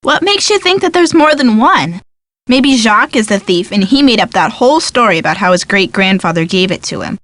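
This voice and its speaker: A woman's voice: mid-range voice, animated, a little fast, fairly bright tone, no room sound, natural voice. A woman voicing a blunt, dry-witted young sidekick in a detective video game. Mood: skeptical. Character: wry skeptical young female sidekick friend of detective.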